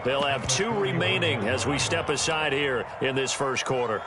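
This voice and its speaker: A man's voice: medium pitch, normal speed, heavy background noise, close to an everyday voice. A man playing an American play-by-play announcer, calling a football game in a polished broadcast style. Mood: measured. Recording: loud game sound behind the voice. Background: loud football game ambience.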